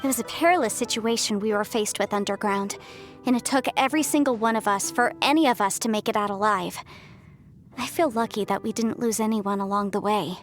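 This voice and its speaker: A woman's voice: mid-range voice, brisk, lightly acted. A woman playing an earnest, kindly and cheerful young woman in a video game, speaking politely and sincerely. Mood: relieved. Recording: faint music behind the voice.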